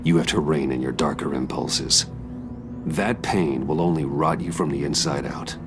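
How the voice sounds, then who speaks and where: An actor playing a stern, brooding masked hero in a video game, terse and commanding, with a gravelly voice. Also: male voice, very deep voice, normal speed. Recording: loud music behind the voice.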